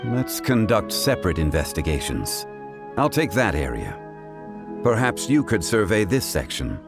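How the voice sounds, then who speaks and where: A stern, formal investigator who takes charge and issues orders. His low voice moves with lively inflection over clear game music. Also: unhurried, exaggerated voice. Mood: serious. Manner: formal, commanding. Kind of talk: video game voice acting. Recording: game audio with music behind.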